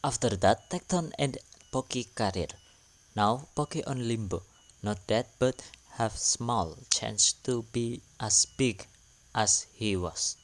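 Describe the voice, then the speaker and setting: A man's voice: mid-range voice, takes it slowly, slight background noise. A man narrating a drama recap in plain, matter-of-fact, broken English. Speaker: channel narrator.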